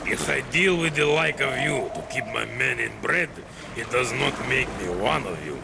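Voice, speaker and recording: A man playing a proud, bitter older admiral in a video game, with a stiff, contemptuous, gravelly delivery. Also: medium pitch, lively intonation, moderate pace, noisy background. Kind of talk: video game cutscene acting. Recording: loud music behind.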